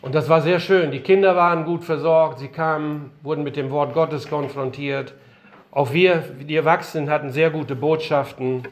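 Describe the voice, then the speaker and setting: A man's voice: on the high side, fairly even intonation, moderate pace. Older man preaching, slightly strained voice. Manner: earnest, emphatic, rhetorical questions. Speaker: missionary speaker. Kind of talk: lecture-style sermon. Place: conference room.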